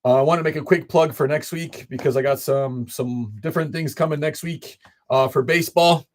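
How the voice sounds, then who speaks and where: A man's voice: on the high side, fairly quick, darkish tone. Chatty man hosting a live card break. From Illinois. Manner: chatty, greets viewers by name, frequent fillers. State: voice slightly off. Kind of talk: casual livestream chat.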